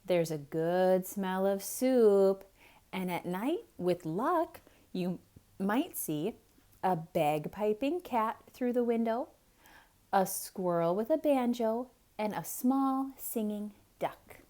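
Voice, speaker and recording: Female children's storyteller, theatrical and dramatic, unhurried, with wide swings in pitch. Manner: expressive, dramatic, explaining things to children. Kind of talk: theatrical picture-book read-aloud.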